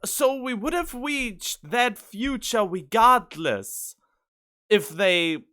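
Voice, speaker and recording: A man's voice: high-pitched, lively intonation, takes it slowly, sharp tone. A man giving casual reaction commentary on game cutscenes, puzzled and thinking aloud as he goes. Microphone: studio condenser microphone. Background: music from game cutscenes.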